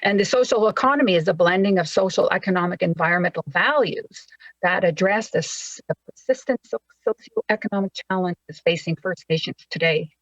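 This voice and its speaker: A woman's voice: on the low side, fairly quick, no echo. A woman giving a formal prepared presentation with slides on a video call, stumbling now and then over words. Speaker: panel presenter. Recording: dull lo-fi video call audio.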